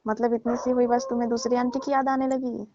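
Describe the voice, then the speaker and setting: Casting: actress for coaxing married older lover in a call drama, teasing, soft mellow tone on the high side, lo-fi audio. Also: female voice, little pitch movement, fast talker, slight background noise, lightly acted. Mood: pleading. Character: married older lover coaxing her sulking younger man. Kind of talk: scripted prank-style phone call drama in colloquial Hindi. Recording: dull lo-fi phone call recording.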